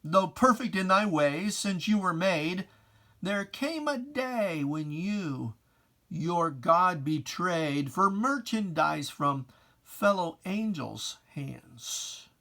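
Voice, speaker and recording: Solemn reader doing dramatic religious poetry, really slow and drawn out, theatrical. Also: medium pitch, animated, sharp tone. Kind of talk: dramatic recitation of religious verse.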